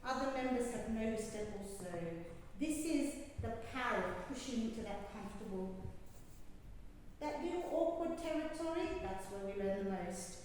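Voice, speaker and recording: A woman coach gives a prepared speech, telling her story with animated energy and voicing her own instructions as she goes. Her voice sits a little high. The hall around her rings with long, echoing reverb, and she sounds distant from the microphone, with faint room ambience behind her. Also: moderate pace, a little hiss or hum. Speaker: public speaking coach. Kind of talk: prepared speech to a club audience.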